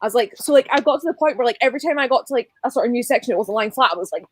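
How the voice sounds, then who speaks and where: A woman's voice: on the high side, expressive, racing. A woman in a casual group book chat, talking informally and ramblingly and often quoting as she goes. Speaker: guest on a book readalong livestream.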